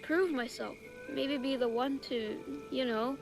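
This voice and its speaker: High-voiced anxious child soldier. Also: female voice, vocal fry, soft background music. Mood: nervous, earnest. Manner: halting, anxious. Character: frightened, earnest child recruit playing at soldier. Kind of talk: video game cutscene acting.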